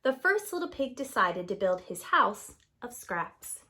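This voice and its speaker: A woman's voice: on the high side, very animated, a little slow, bright, forceful tone. A woman narrating a scripted children's story as a preschool teacher would.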